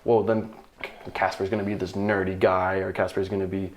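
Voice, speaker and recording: A man with a Danish accent talks on a podcast in a casual, reflective way. His voice sits a little low. He often pauses to find the right words and laughs easily between thoughts. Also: little pitch movement, a little hiss or hum. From Denmark. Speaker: podcast guest, paddler. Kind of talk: casual conversation.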